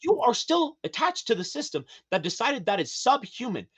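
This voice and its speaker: A man with a high, slightly feminine voice, husky and a little breathy, delivers an emphatic, profane rant. His pitch swings widely, jumping up in sudden outbursts and dropping low for serious points. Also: sing-song, normal speed, fairly bright tone. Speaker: podcast guest. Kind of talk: casual podcast rant.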